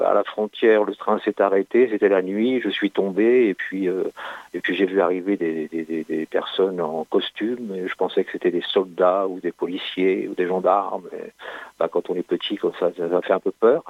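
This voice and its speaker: A sculptor in his sixties from Italy recounts his life story in a halting way, with many restarts and hesitations. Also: low-pitched, gravelly, no echo. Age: 60s. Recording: dry phone-like audio.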